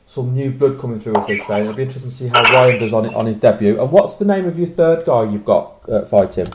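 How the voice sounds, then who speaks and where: A man hosting an interview, asking questions quickly and often restarting his words. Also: medium pitch, very noisy. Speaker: interviewer host.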